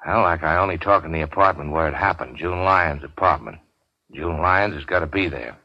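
An actor playing a weary, sardonic gangster in a 1940s radio drama, terse and slangy. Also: male voice, low voice, fairly quick, no echo. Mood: scheming, terse. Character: weary hard-boiled gangster, wounded, sardonic and unafraid. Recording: dull 1940s radio recording.

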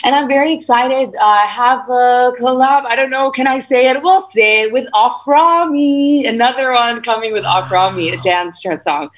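A woman's voice: fairly high pitch, normal speed, few pauses, low-quality recording. A woman singer-songwriter being interviewed, chatting casually in an effusive, slangy way as she tells stories. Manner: effusive, slangy, animated storytelling. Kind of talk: casual chat, radio interview. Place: remote video call.

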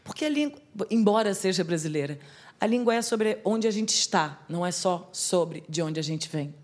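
A woman from Brazil, a theatre artist with a Brazilian accent softened by living in Portugal, telling a story in a lively, conversational way. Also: fairly low pitch, moderate pace. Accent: Brazilian Portuguese, softened by life in Portugal.

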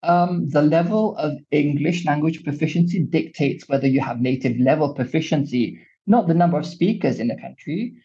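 Gravelly, hesitant Malaysian man, dull sound. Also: on the high side, moderate pace, mellow. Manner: hesitant, many fillers and self-corrections. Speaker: interview guest on language. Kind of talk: thoughtful radio interview answers.

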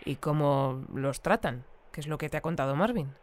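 An actress playing a composed, articulate radio news interviewer in an audio drama. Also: female voice, low voice, lively intonation, normal speed. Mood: engaged, informative. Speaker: radio presenter.

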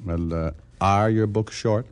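An actor playing a cocky, sardonic American private detective in an old-time radio drama, breezy and wisecracking. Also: male voice, lowish voice, steady tone, unhurried, no room sound, natural voice. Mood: probing, dry. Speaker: radio drama lead and narrator. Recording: vintage radio recording with music.

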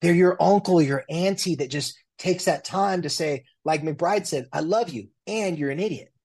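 A man's voice: a little high, moderate pace, a little mellow, husky. A man in his forties, a therapist, talking loosely in a casual interview with many fillers and restarts. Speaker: guest, family and marriage therapist.

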